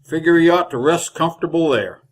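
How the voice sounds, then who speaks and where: Garrulous old frontier wagon driver, higher-pitched. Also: male voice, expressive, a little fast. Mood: chatty, folksy. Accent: American rural Western drawl. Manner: rambling, folksy, chatty. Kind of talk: old-time radio Western acting.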